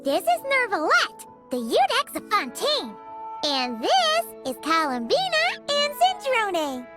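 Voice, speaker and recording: A woman voicing a small, cheerful, childlike creature in a video game, squeaky and full of bubbly exclamations. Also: huge pitch swings, takes it slowly, loud music behind the voice, heavily acted. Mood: explaining. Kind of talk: video game character acting.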